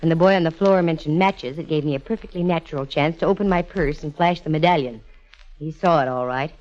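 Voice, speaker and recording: Low-voiced brash actress as a lady private eye. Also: fairly quick, darkish tone, natural voice. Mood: wry, confident. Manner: breezy, slangy, wry delivery. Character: brash, wisecracking young female private detective. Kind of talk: 1940s-50s radio detective acting. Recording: muffled vintage radio broadcast.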